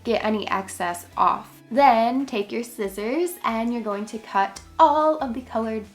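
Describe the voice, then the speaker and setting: A woman's voice: on the high side, measured pace, faint music behind. A woman hosting a craft tutorial, giving chatty step-by-step instructions, her voice congested and a little worn. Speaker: craft channel host.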